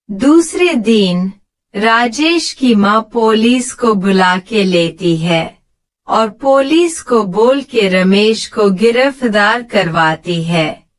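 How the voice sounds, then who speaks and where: A woman giving scripted narration for a children's moral story. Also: medium pitch.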